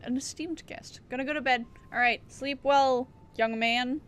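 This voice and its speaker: A woman's voice: high-pitched, steady tone, normal speed, fairly bright tone, clear, resonant tone. A woman streamer giving casual, wry and playful asides while playing a game. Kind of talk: casual live game commentary.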